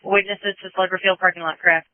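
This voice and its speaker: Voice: mid-range voice, level tone, fast, dry recording. A police radio dispatcher relaying messages in a clipped, procedural way, repeating them back over a radio channel. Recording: narrowband police radio transmission.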